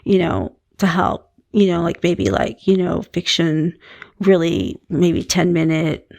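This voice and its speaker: A middle-aged woman speaking in a calm, halting way, full of fillers and restarts. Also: lowish voice, vocal fry, dry recording. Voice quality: calming voice. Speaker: meditation channel host. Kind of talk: casual personal monologue.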